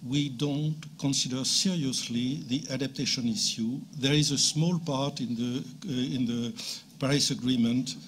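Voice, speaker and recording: A man commenting point by point at a conference debate, deliberate and emphatic. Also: mid-range voice, fairly even intonation. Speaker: workshop participant. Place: conference meeting room. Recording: conference room with background noise. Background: faint room ambience.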